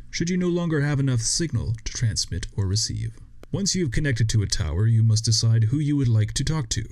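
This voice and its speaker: A man reading a scripted instructional narration in a measured, step-by-step way as a training video narrator. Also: medium pitch, darkish tone.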